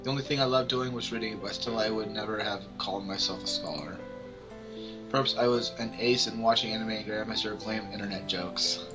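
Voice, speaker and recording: A man's voice: on the low side, steady tone, fairly quick, low-quality recording. A man casually reading a visual novel's narration aloud as an amateur let's-player. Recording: music behind the voice. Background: game music.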